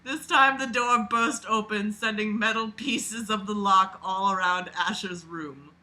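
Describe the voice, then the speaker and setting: Bright, forceful, sarcastic woman reading aloud. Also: medium pitch, measured pace. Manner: expressive, sarcastic, informal and sweary. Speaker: host reading a book aloud with commentary. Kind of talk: casual mocking read-aloud with off-the-cuff asides.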